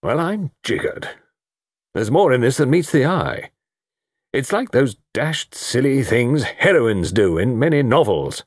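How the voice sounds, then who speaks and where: A man's voice: medium pitch, wildly swooping pitch, a little slow, husky, dry recording, clean studio sound, pitched above the performer's own voice, exaggerated voice. A man voicing a stern, suspicious old English colonel in an audiobook, with a heavy, grave, blustering manner. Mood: baffled bluster. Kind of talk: audiobook character dialogue.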